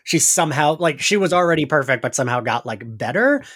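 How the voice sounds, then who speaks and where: Animated, chatty man podcasting. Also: fairly high pitch, fast talker. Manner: chatty, frequent "like", animated quoting. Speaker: podcast co-host. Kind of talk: casual pop-culture podcast chat.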